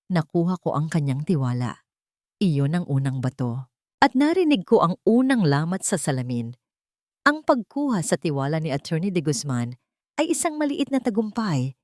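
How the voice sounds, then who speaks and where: Low-voiced woman narrating a dramatic story. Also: a little mellow, no echo, studio quality. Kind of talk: scripted first-person dramatic narration.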